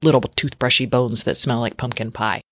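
A woman's voice: a bass voice, steady tone, moderate pace, a bit bright. A woman hosting a tech podcast, talking in a chatty, informal way with lots of "like" thrown in. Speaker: podcast host. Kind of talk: casual tech podcast chat.

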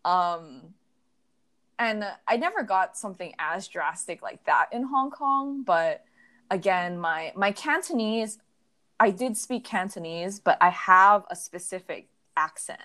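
A woman's voice: medium pitch, animated, a little slow, sharp tone. A young adult woman in casual conversation, telling stories reflectively with many fillers. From United States.

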